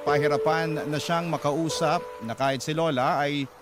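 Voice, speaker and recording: A man's voice: a little high, steady tone, normal speed, very noisy. A man reading a listener's letter as narrator of a radio drama, earnest and somber. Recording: loud music underneath.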